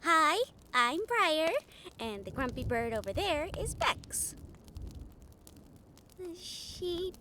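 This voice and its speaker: A very high, girlish harpy played by a woman gushes and soothes in an audio roleplay. She is caring and bubbly, with melodic swings in her unhurried delivery, over faint background noise and ambience. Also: full character voice. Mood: soothing. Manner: gushing, excitable, soothing. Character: cheerful, caring young female harpy, bubbly and soft-hearted.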